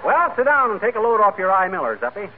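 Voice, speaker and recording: An actor playing a folksy, blustering husband full of corny wisecracks in a 1940s radio comedy. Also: male voice, high-pitched, big pitch swings, fast. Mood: blustery, joking. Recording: noisy, tinny 1940s radio broadcast.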